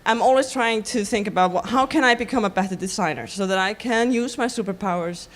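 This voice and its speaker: A woman from Iceland with an Icelandic accent, giving a conference talk in a halting way with many ums and restarts. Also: mid-range voice. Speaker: conference speaker.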